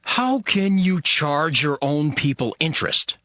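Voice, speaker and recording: A man's voice: a little high, very animated, a little slow, sharp tone, dry recording, exaggerated voice. A man playing a resolute, devout leader narrating dramatized scripture in an earnest, declamatory way. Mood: stern, indignant. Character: Nehemiah, resolute devout male leader rallying his people.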